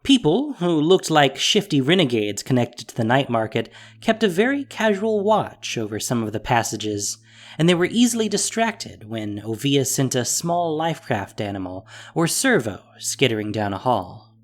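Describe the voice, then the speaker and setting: A man's voice: medium pitch, sing-song, normal speed. A man reading scripted fantasy story narration as the narrator of an audio drama. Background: music.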